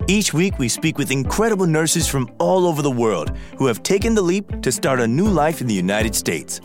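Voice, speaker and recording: A man's voice: a little high, very animated, moderate pace. A man announcing the show in an upbeat, scripted voice-over. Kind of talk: scripted promo narration. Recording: voice-over with faint music.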